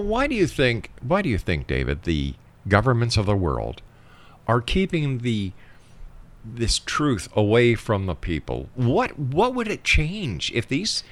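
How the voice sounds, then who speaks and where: A man's voice: medium pitch, huge pitch swings, a little slow, a bit bright. A man hosting an interview show, speaking conversationally and emphatically, repeating phrases to drive his points home. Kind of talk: conversational interviewing and opinionated banter.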